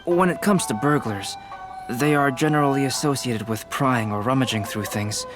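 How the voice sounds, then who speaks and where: An actor playing a calm, analytical young male scholar, measured and precise, in a video game. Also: mid-range voice, over music, close to an everyday voice. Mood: calm, explanatory.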